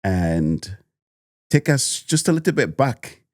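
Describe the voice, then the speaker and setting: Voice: sits low, big pitch swings, slow pace, no room sound, studio quality. A podcast host interviewing a guest, enthusiastic and full of encouraging hums. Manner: frequent back-channel hums, enthusiastic. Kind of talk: interview hosting.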